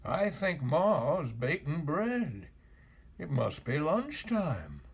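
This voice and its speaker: A man playing an excitable, folksy old farmer in a storybook. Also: on the high side, drawn-out words, breathy edge, exaggerated voice.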